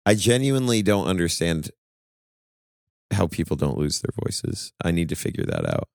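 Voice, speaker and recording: A man co-hosting a podcast in casual chat, animated, laughing at himself and swearing freely. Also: sits low, moderate pace, gravelly, no room sound, clean studio sound. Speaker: podcast co-host and touring musician.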